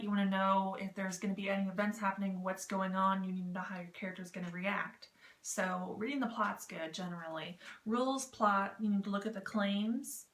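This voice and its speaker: A woman's voice: medium pitch, fairly bright tone. A woman talking casually as a vlog host, explaining things in a rambling, informal, self-deprecating and jokey way. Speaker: vlog host giving tips on written role-playing. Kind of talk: casual, chatty explainer to camera. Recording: roomy echo with clear background noise.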